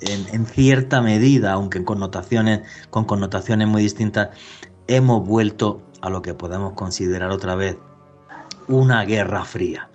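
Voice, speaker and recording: Low-voiced earnest radio host. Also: male voice, normal speed, darkish tone, highs cut off, faint music behind. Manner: earnest, opinionated, expansive. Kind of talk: podcast interview introduction.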